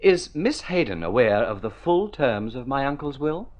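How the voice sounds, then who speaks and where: A man playing a flippant young gentleman spendthrift in a mid-century radio drama, chatty and airy. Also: medium pitch, sing-song, normal speed, lightly acted. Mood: politely probing. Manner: chatty, airy, polite hesitations. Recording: dull, lo-fi vintage radio recording.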